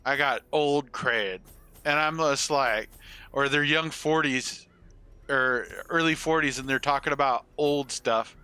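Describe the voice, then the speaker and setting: A man's voice: mid-range voice, a little slow, pushed and bright, smooth. A man in his forties chatting casually and rambling with some salty swearing as he streams a game. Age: 40s. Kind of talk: casual live-stream chat with gameplay commentary.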